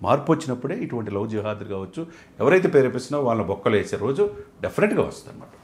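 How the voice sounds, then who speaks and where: An impassioned male commentator. His mid-pitched voice swings widely as he talks at a rapid clip. He is emphatic and rhetorical, piling up repeated phrases like a list. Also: big pitch swings, a little mellow. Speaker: analyst and studio guest.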